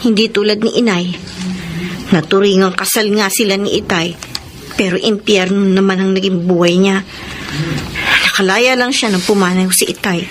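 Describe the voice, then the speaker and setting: A woman's voice: mid-range voice, steady tone, moderate pace, pauses often, close to an everyday voice. An actress with a Filipino accent playing an anxious, wounded, pleading young live-in girlfriend in a radio melodrama. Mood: defensive. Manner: emotional, pleading. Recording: clear background noise on broadcast.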